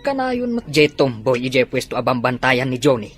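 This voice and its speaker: A woman playing a husky-voiced, meddlesome gossiping parent in an Ilocano comedy drama. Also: extremely low pitch, very animated, normal speed, over music. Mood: gossipy. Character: meddlesome, gossiping parent watching over grown children.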